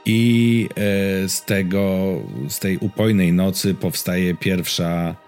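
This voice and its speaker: Guy w a low voice just rambling on a podcast, slangy and casual, bit of music under it. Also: flat delivery, takes it slowly, darkish tone. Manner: informal, slangy, rambling storytelling. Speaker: podcast co-host. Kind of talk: casual chat about games and series.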